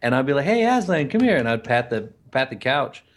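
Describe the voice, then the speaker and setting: A man with a fairly deep voice co-hosting a podcast in casual chat, rambling with restarts and easy laughter. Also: very animated, brisk. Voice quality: deeper voice. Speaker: podcast co-host.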